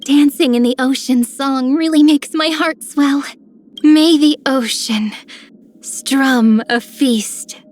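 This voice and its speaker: A woman voicing a gentle, dreamy young maiden in a video game with a soft, breathy, poetic delivery. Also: high-pitched, slow. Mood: serene. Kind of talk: scripted game character lines.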